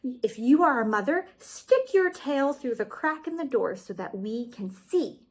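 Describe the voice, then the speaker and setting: A woman voicing a clever, wary little male bunny who speaks up loudly and insistently. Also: high-pitched, lively intonation, unhurried, slightly rough, voice pushed up, heavily acted. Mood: defiant. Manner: raised, insistent.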